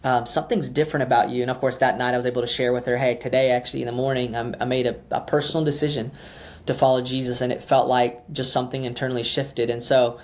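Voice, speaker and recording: A man's voice: mid-range voice, little pitch movement, fast talker. A man in his thirties, a pastor raised in the US after early childhood in Ukraine, talking casually as a podcast guest with lots of fillers and restarts. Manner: chatty, many fillers and restarts.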